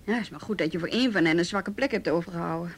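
A woman's voice: fairly low pitch, very fast, slightly rough. An actress playing a wry, teasing off-duty policewoman in a naturalistic radio drama. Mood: wry, probing. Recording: faintly noisy radio play recording.

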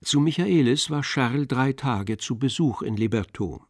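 A man narrating a literary radio play in a measured, composed manner. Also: mid-range voice, expressive, darkish tone, no echo. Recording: dry studio with faint music.

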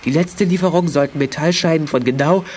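A man's voice: on the high side, a little fast, darkish tone, slight background noise, natural voice. A young man acting a shy, earnest teenage boy in a children's audio drama, speaking hesitantly. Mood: defensive. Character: shy, flustered teenage boy confessing and pleading innocence.

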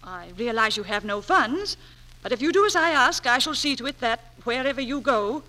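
A woman's voice: fairly high pitch, animated, moderate pace, pushed and bright. A woman playing a haughty, spiteful aunt, formal and imperious, in a 1940s radio drama. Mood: cold, severe. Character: haughty, spiteful aunt guarding the family name.

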